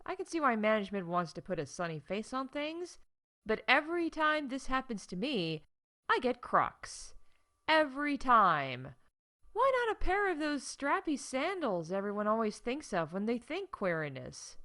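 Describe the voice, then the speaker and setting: A woman's voice: fairly high pitch, very animated, normal speed, sharp tone. A woman playing a chatty, wry theme park staff announcer in a scripted comedy podcast, emphatic and full of conversational asides. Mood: exasperated.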